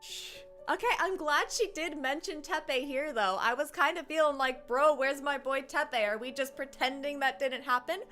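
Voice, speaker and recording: A woman with a high voice gives casual commentary on a game stream. She rambles reflectively and drops in slang, speaking as if to chat. Music plays behind her. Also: normal speed, hardly any pauses, fairly bright tone. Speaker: live streamer playing a game.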